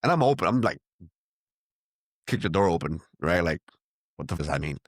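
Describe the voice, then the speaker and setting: A very low-pitched man with a Venezuelan-tinged accent, Spanish being his first language. He talks casually and rambles through stories, acting out other people's lines. The sound is close and dry. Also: big pitch swings, a little fast, no room sound. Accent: Venezuelan Spanish-tinged American English. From United States. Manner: rambling storyteller, many restarts and quoted dialogue. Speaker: podcast guest. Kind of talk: casual conversation.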